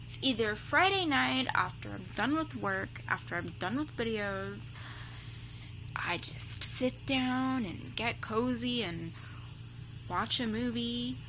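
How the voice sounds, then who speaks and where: Slow, chatty young woman over hum. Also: a little high. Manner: chatty, full of "like" and "um" fillers. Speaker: vlogger reacting to a video. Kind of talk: casual rambling chat. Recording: steady background hum.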